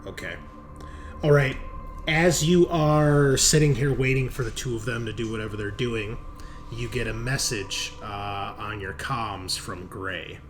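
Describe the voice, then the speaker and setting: A man's voice: mid-range voice, unhurried. A man acting as game master, narrating and explaining a tabletop game in an improvised, conversational way. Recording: noisy room.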